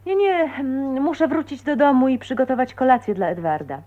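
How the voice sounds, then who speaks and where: A woman's voice: sits high, sing-song, fairly quick, warm tone. An actress playing a polite but cheeky, self-possessed young woman in a radio drama. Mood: cheerful, cheeky. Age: young adult. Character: self-possessed, cheeky 29-year-old niece, a former meek spinster. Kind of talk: Polish radio drama acting. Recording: dull, noisy archive radio recording.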